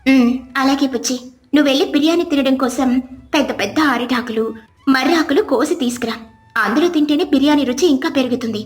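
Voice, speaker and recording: Woman voicing a high-pitched, kindly bird leader. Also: fast, roomy sound, soft background music, lightly acted. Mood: directing. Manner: encouraging, directing. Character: kindly female bird leader organising the cooking.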